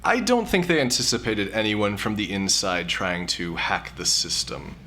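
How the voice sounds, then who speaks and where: A man's voice: fairly low pitch, expressive, moderate pace, pushed and bright, a little hiss or hum. A man playing a sheepish, nerdy hacker in an audio drama, hesitant and self-justifying. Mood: nerdy disbelief. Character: sheepish, nerdy male hacker, brooding digital security veteran.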